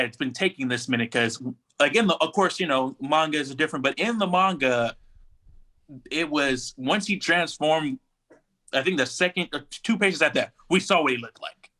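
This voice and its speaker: Male co-host, bright forceful voice, fairly high, emphatic, colloquial, casual discussion. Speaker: podcast co-host.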